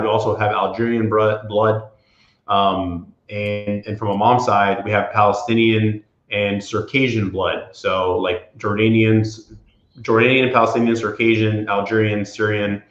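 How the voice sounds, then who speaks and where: Low-voiced man on an echoey call. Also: fairly bright tone, muffled recording. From Florida Panhandle, USA. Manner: hesitant, many fillers and restarts. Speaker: property insurance attorney, guest. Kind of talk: casual interview talk.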